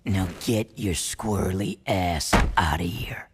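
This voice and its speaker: An actor playing a gruff, no-nonsense underground resistance commander in a video game, with a gravelly voice. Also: male voice, deep voice, takes it slowly, very noisy. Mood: grave, commanding. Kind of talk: animated video game acting.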